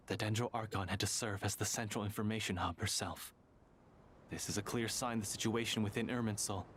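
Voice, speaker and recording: An actor playing a cautious, dutiful sage in a video game, formal, earnest and measured. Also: male voice, lowish voice, fairly bright tone, slight background noise, close to an everyday voice. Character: grave dutiful male sage, cautious and courteous.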